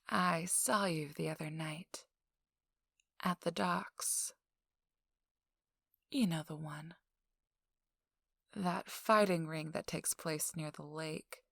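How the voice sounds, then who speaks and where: Woman playing a slow, sultry, teasing socialite. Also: low voice, gravelly, a bit bright, no echo, clean studio sound, full character voice. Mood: coy, intrigued.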